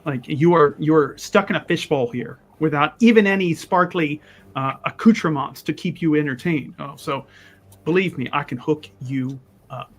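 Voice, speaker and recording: A man playing a pompous, condescending adventurer mage in a tabletop game, wordy and often halting mid-sentence. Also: a little high, lightly acted. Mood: persuasive.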